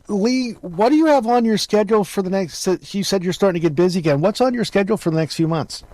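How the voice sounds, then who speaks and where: A man's voice: sits high, fast, darkish tone, no room sound, rough recording. A man hosting a casual interview, circling back and rephrasing as he goes. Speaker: interview show host.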